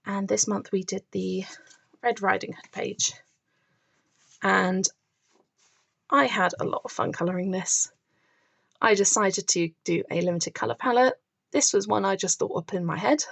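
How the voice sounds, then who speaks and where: A woman chats warmly through her finished colouring pages in a casual show-and-tell. Her middle-pitched voice has a gravelly fry and is starting to crack from long talking. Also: measured pace, long pauses. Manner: chatty, enthusiastic, explains her process. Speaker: colouring channel host. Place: indoors at a table, filming books from above.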